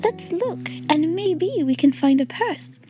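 A spoiled, selfish little girl from a storybook, whose talk comes out peevish and dismissive, as a woman reading aloud plays her. Her voice is pitched up high, with soft music running underneath. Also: lively intonation, slow, full character voice.

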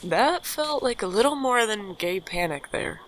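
A woman's voice: medium pitch, expressive, measured pace, fairly bright tone, slight background noise, poor audio. A woman playing a young, caring friend with dry, casual banter in an audio drama. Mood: concerned. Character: young Micah, teasing, caring friend and host. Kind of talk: naturalistic audio drama acting.

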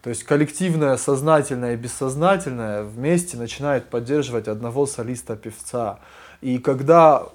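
A man's voice: medium pitch, moderate pace. A young adult man, a guest musician, explaining things earnestly and with animation in a casual conversation.

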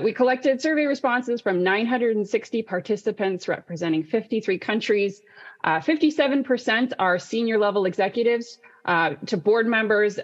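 A woman's voice: mid-range voice, muffled recording. A woman hosting an online panel, presenting in a semi-scripted webinar style. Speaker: panel host and moderator.

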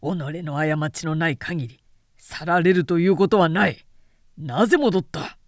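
A resolute samurai elder brother , played by a woman narrator, in a period storybook. He is stern and blunt, giving orders in a lowered voice. The recording is dry. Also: a little slow, dry recording, lightly acted. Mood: stern, scolding. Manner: scolding, blunt, commanding.

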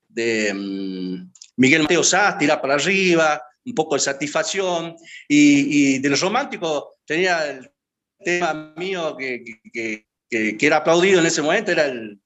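A man's voice: fairly high pitch, a bit bright. A man, answering interview questions and reminiscing in a rambling way, with many hesitations and filler words. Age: 50s. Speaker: guest. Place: his home.

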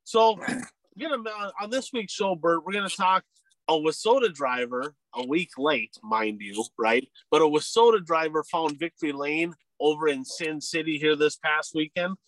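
A man's voice: fairly high pitch, normal speed, a bit bright, rough recording. A man hosting a casual racing podcast, talking in a chatty, upbeat, rambling way, sounding nasal from a head cold. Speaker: podcast host.

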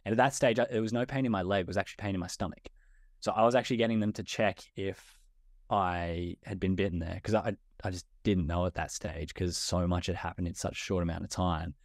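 A young Australian man, a guest in a podcast interview, speaking in a relaxed way. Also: sits low, fast talker, no echo, studio quality. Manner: relaxed, many fillers and restarts. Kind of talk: conversational interview.

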